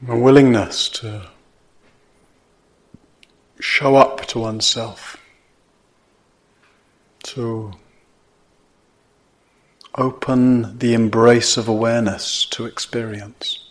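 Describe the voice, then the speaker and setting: A man teaching meditation, guiding gently and in a measured way, with pauses between short phrases. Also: mid-range voice, fairly even intonation. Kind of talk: guided meditation.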